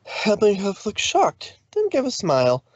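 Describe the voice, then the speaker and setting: A man's voice: high voice, huge pitch swings, unhurried, muffled recording. A man narrating a story informally, with a loose delivery in which he sometimes corrects himself. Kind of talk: informal fan fiction reading.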